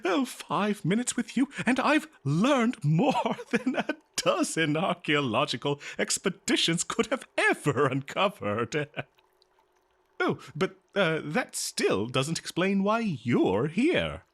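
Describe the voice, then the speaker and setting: A man playing an eager, kindly scholar in an audio roleplay, speaking politely and haltingly to a listener. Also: sits high, huge pitch swings, a bit bright, full character voice. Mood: amazed, excited. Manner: halting, flustered, polite, exclaiming. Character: eager, scholarly, kindly male researcher of a lost species. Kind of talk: scripted audio roleplay, one-sided dialogue.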